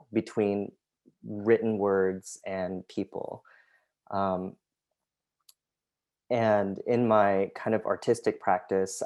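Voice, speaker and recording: Man, artist presenting over a video call, low and unhurried, hesitant with lots of fillers, first language Vietnamese. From United States. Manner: hesitant, many ums, soft-spoken. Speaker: artist and graphic designer. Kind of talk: presenting artwork in an online talk.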